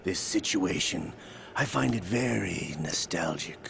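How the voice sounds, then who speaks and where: A man's voice: sits low, animated, a little slow, creaky, a bit bright, a little hiss or hum, heavily acted. An actor playing a sly, theatrical villain's henchman with an insinuating, menacing manner in a video game. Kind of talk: dramatic video game cutscene acting.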